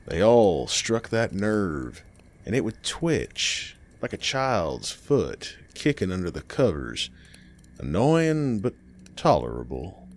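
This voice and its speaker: A man reading a scripted horror story in a dramatic, measured way, pausing between phrases. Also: lowish voice.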